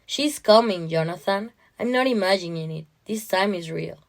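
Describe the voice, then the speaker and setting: A woman's voice: medium pitch, moderate pace, lightly acted. A woman voicing a sleep-deprived, anxious mother in a story, pleading and defensive as she insists. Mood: insistent.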